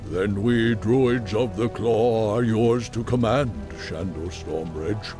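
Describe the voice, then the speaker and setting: Casting: loyal fantasy-game warrior, lowish, unhurried, reverent, music behind. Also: male voice. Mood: humble, loyal. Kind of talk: video game cutscene acting.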